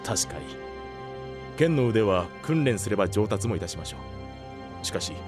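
A man playing a measured, respectful retainer to a king, courteous and formal, in an anime audio drama. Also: on the low side, big pitch swings, over music. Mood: composed.

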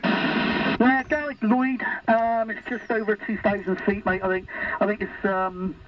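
A man's voice: high voice, level tone, measured pace. A friendly man calling in on a CB radio net, often saying mate. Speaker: CB radio caller. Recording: distant dull radio with faint noise.

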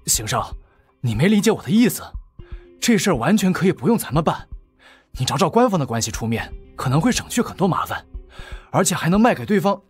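A cautious, anxious henchman, voiced by a man pleads in a high, very hoarse voice. The pitch jumps around, as in radio drama. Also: very animated, a little fast, soft background music, heavily acted.

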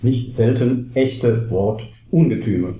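A man as a very dark-toned, deadpan teacher. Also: medium pitch, slow, echoey room, highs cut off, slight background noise, lightly acted. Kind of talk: scripted cabaret audio play.

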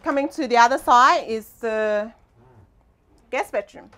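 A woman's voice: a little high, measured pace, a bit bright, slight background noise. A young woman giving a casual guided tour as a host, speaking into a clip-on mic inside a small boat cabin. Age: young adult.

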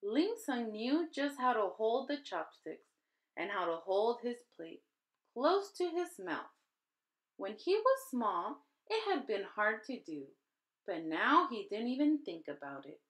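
A woman reading a children's picture book aloud as a storyteller, in an expressive, deliberate style made for young children. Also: fairly high pitch. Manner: deliberate, animated storytelling delivery.

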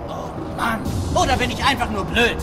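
A man's voice: extremely high pitch, lively intonation, normal speed, over loud music, over a noisy crowd. A man acting a naive, scatterbrained, babbling young man in a comic video game cutscene. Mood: self-mocking. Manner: babbling, goofy, self-deprecating. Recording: noisy, long reverberant hall sound.

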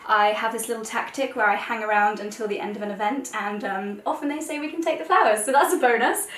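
A woman's voice: a little high, a bit bright. A young woman with an English accent, giving an informal, chatty house tour to camera from indoor rooms. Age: young adult. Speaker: lifestyle vlogger and host. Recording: echoey rooms, distant mic, some noise.

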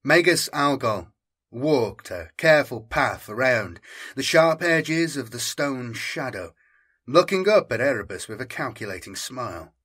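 Dramatic guy reading a grim sci-fi audiobook, slow, husky, ominous delivery. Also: mid-range voice, sing-song, fairly bright tone. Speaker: audiobook narrator. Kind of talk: dramatic scripted narration of dark science fiction.

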